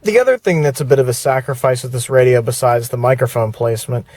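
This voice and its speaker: A man talking casually as he shows off the stereo in his car, chatty and relaxed, with the odd filler and restart. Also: medium pitch, quick pace, no room sound. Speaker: car audio reviewer. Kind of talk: casual hands-on product demo. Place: inside a car. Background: faint music from the car stereo.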